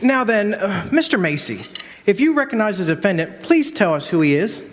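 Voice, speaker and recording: Man as an earnest high-voiced lawyer, noisy hall. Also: sing-song, normal speed. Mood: probing. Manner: earnest, polite, probing. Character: earnest, idealistic defense lawyer. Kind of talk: old-fashioned radio drama acting. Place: live performance on a college campus. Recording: noisy reverberant hall stage recording.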